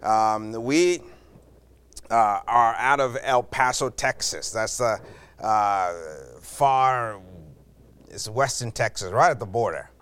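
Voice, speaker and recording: A missionary man from Zambia, talking to a church with many pauses and uh fillers. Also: medium pitch, fairly bright tone, clear, resonant tone. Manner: halting, with frequent uh fillers. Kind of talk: church greeting and testimony.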